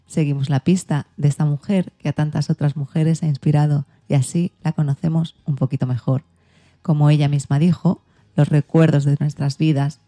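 A woman hosts a podcast. Her voice is very dark and mellow, with none of the sharp edge of a bright announcer, and she delivers prepared introductions. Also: sits low. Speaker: podcast host. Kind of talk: scripted podcast presentation.

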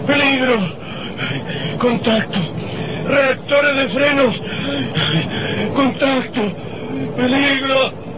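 A man's voice: very high voice, fairly even intonation, extremely slow, pauses often, exaggerated voice. An actor playing a delirious male pilot crying out frantic warnings in a vintage radio drama. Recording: distant, noisy, muffled old radio recording.

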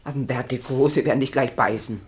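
An actress playing an anxious, fussing older village wife in a German radio play, pleading and fretful. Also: female voice, a bass voice, a little fast, a little hiss or hum.

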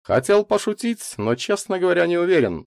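Casting: sly male academic in his forties for storybook fiction, read by a man, measured delivery, lifted pitch, dry close sound. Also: very animated, creaky, a little mellow, no room sound, full character voice. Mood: hesitant, thoughtful. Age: 40s. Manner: measured, restrained, scholarly. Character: lean forty-year-old male professor, sly, measured, teasing intellectual.

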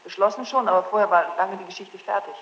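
A woman's voice: medium pitch, quick pace, fairly bright tone. A young woman giving testimony as a witness in court, answering formally and defensively. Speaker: witness under questioning. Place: large reverberant courtroom, away from the mic. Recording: distant, muffled, noisy echoing archival tape.

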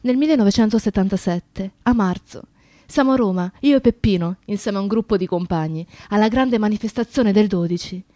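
A woman's voice: medium pitch, brisk, dark tone, no room sound, lightly acted. A woman playing a proud, defiant young feminist comrade in radio theatre, with intense, declamatory delivery. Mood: earnest narration. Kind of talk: dramatic radio theatre monologue and narration.

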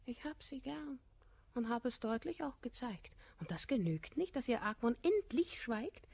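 Proud, animated, husky society lady. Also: on the high side, moderate pace, no room sound, exaggerated voice. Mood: indignant, reproachful. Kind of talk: classical verse comedy radio play acting.

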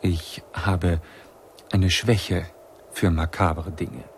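An actor in a German radio drama playing a courteous, curious gentleman with a taste for the macabre, over faint noise. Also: deep voice, fairly even intonation, extremely slow, exaggerated voice. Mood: urbane curiosity.

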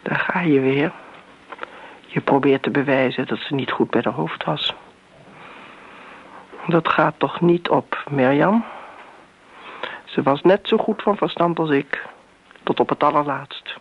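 A woman's voice: low-pitched, measured pace, long pauses, slightly rough, heavily acted. An actress playing a bitter, middle-aged daughter who defends her mother in a radio play, pointed and reproachful in manner. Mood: accusing, defensive. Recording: muffled old radio recording, background hiss.

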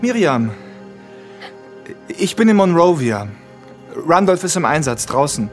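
An actor playing a restless aid worker in a radio drama, with a jumpy, halting way of talking. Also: male voice, fairly high pitch, big pitch swings, faint music behind. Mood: restless, agitated.

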